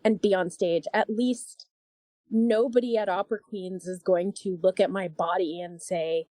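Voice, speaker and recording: A woman's voice: medium pitch, steady tone, moderate pace, warm tone, dry recording. A woman opera singer talking earnestly and emphatically as a podcast guest. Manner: earnest and emphatic, rising to indignation. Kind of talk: casual podcast interview.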